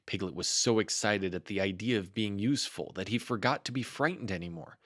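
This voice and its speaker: A man reading a children's book aloud as an expressive storyteller. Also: mid-range voice, no echo, clean studio sound.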